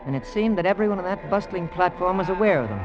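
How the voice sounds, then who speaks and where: An American oil engineer, played by an actor, recounting adventure in a fairly high male voice. He is earnest and measured, in old radio drama style. The sound is muffled vintage radio with music clearly present. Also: close to an everyday voice. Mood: earnest, suspenseful. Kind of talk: old-time radio drama narration and dialogue.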